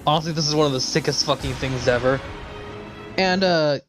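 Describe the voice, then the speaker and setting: A man's voice: a little high, a little fast. A man livestreaming with casual, enthusiastic and sweary commentary over video game cutscenes. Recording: game music playing loudly behind. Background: video game cutscene music and dialogue.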